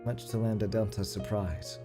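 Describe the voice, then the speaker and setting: A woman's voice: a bass voice, steady tone, normal speed. A woman game streamer reading a visual novel aloud with casual, slangy, sweary commentary. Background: music.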